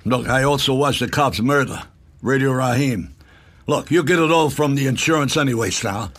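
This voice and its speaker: A man's voice: mid-range voice, normal speed, natural voice. A man playing a stubborn, indignant young pizzeria delivery worker in a film scene, blunt and profane.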